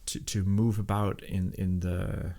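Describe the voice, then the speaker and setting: A man chats loosely on his live stream. His voice sits low with a gravelly rasp. He wanders through thoughts with lots of ums and restarts, reading viewers' messages aloud. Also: level tone, unhurried, warm tone. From Denmark. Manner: rambling, with many fillers and restarts. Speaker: live-stream programming host.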